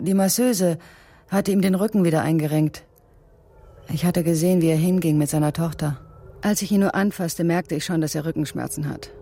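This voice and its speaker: An actress playing a curious, watchful village storyteller in a radio drama, with a creaky, mellow voice. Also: female voice, lowish voice, slight background noise, lightly acted. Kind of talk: German radio drama narration, voicing villagers.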